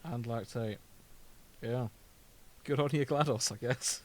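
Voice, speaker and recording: A man's voice: medium pitch, unhurried, dry recording. A British man co-hosting a podcast in a casual, comedic chat, amused and prone to giggling. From Britain. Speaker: podcast co-host.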